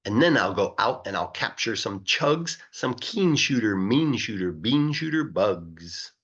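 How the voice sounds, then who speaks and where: A man reads rhyming children's verse aloud in a playful, emphatic way. His mid-pitched voice moves up and down in a very animated way and has a rough, husky grain. The recording is a little dull. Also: measured pace. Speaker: English teacher reading aloud. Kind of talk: expressive read-aloud of a rhyming children's book.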